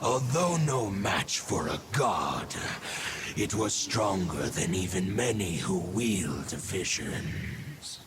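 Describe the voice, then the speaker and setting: Slow, dramatic storyteller of old legends. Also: male voice, deep voice, big pitch swings, full character voice. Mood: solemn. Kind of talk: scripted storytelling in a video game. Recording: loud ambient noise.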